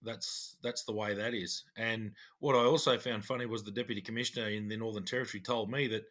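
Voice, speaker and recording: A middle-aged man, a former cop co-hosting a podcast, explaining things in a measured way. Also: lowish voice, fairly even intonation, a bit bright. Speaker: podcast co-host, former police officer. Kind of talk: casual chat.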